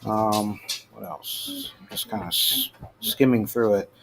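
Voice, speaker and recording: A middle-aged American man giving casual, halting news commentary as a host, informal and prone to trailing off. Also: on the low side, wildly swooping pitch. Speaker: host of a personal rant show. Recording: clearly noisy amateur home recording.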